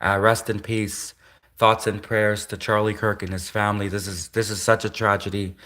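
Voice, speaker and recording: Nasal, bright-toned man from Canada, casual livestreamer answering chat, repeats himself. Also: on the low side, level tone. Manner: halting, repeats himself, restarts phrases.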